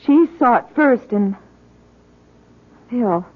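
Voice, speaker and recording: Nervous college woman, higher voice. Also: animated. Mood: nervous recounting. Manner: anxious, halting, insistent. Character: nervous, earnest college woman shaken by something uncanny. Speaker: radio actress. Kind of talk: old-time radio drama acting. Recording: muffled, narrowband old radio broadcast.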